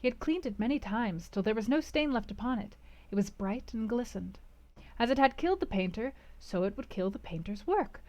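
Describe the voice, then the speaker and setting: A woman reading a classic novel aloud as a book club host, with theatrical emphasis, dramatic pauses and swings from hushed to intense. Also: a little high, lively intonation, lo-fi sound.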